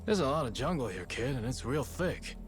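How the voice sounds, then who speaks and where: An actor plays a pragmatic yakuza henchman in a video game. His medium voice is dry and casual, full of tough-guy slang. Music plays clearly behind him. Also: medium pitch, big pitch swings, brisk, lightly acted. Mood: wary, dry. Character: pragmatic, wary adult male yakuza underling. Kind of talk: video game cutscene acting.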